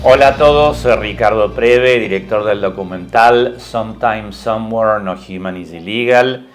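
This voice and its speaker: A man's voice: lowish voice, unhurried, soft background music. A man hosting an interview podcast and asking questions in a polite, welcoming way. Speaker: podcast host and documentary director.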